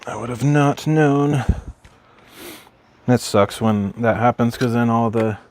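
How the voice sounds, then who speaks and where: A man streaming from his craft room at home, chatting casually while he works, rambling and correcting himself in a mild, low-key way. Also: slow pace, a little mellow, dry recording.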